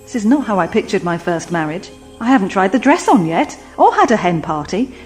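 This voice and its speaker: A woman acts as a plucky young woman companion in an audio drama. The voice is medium in pitch, chatty and cheeky, over faint music. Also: sing-song, a little fast, close to an everyday voice. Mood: determined, quippy. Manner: informal, chatty, quippy.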